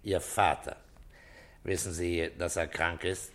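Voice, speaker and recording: Calm middle-aged police boss in a radio drama, low voice, short commanding lines. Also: male voice, slow pace, exaggerated voice. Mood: probing. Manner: terse, matter-of-fact, commanding. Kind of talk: German radio play acting.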